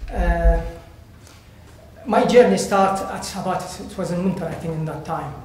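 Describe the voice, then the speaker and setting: Halting Iraqi-accented man, very echoey hall. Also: a little high, a little mellow. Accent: Iraqi Arabic. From Iraq. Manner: halting, searching for words in non-native English. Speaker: guest speaker, volunteer. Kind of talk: personal testimony to an audience. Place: bright indoor hall, at a lectern before a seated audience. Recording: very echoey hall, faint background noise.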